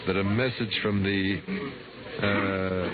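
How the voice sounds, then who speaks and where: A man's voice: deep voice, steady tone, slow, loud music behind the voice. An actor playing a courteous, inquisitive, rather self-important male science writer with formal, precise speech in a 1950s radio drama. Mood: courteous, probing. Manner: formal, smooth-mannered, precise. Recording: muffled, noisy old radio broadcast.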